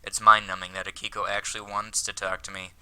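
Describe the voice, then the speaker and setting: A man voicing an earnest, lovestruck, self-conscious high school boy from an anime visual novel, in a wordy, dramatic read-aloud delivery. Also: monotone, fast talker, bright, forceful tone, clear, resonant tone. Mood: adoring.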